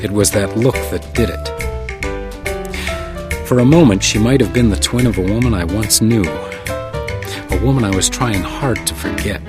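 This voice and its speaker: An actor playing a world-weary male house detective in a hard-boiled radio drama, terse and deadpan. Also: on the low side, normal speed, long pauses, close to an everyday voice. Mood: brooding, wistful. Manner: terse, wry, deadpan noir delivery. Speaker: narrator and lead character. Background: music, guitar.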